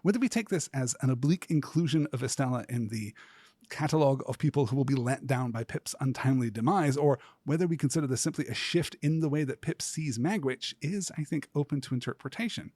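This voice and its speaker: A man hosting a podcast, delivering scripted literary commentary in a measured, articulate way with deliberate, emphatic phrasing. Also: mid-range voice, a little mellow, dry recording. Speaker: podcast host.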